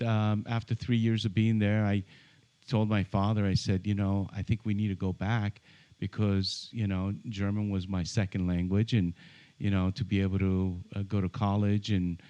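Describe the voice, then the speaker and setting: Male interview guest from Iran, low mellow voice, friendly, halting delivery. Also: muffled recording. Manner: friendly, hesitant, many fillers. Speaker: podcast guest, realtor. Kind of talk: casual interview conversation. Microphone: studio microphone with headphones.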